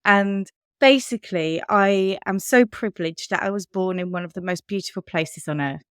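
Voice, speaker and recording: Woman, thirties, English accent, podcast co-host, candid chat, smooth voice, dry sound. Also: medium pitch, moderate pace, dry recording. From Switzerland. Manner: chatty, candid, laughs often. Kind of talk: casual chat.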